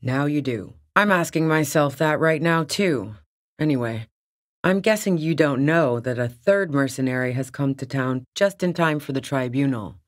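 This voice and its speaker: A woman's voice: deep voice, moderate pace, natural voice. A woman acting a tough, sardonic fugitive woman. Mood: wry, guarded. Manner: blunt, sardonic, foul-mouthed. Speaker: video game voice actor. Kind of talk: video game character dialogue.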